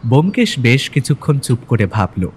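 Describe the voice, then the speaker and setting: A man's voice: mid-range voice, very animated, normal speed, a little hiss or hum. A man narrating a scripted audio story in the first person. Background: clear music.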